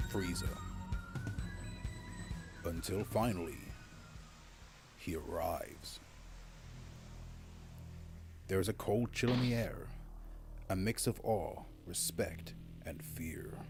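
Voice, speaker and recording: A man reading scripted story narration in a dramatic style. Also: lowish voice. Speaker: narrator of a fan-made motion comic.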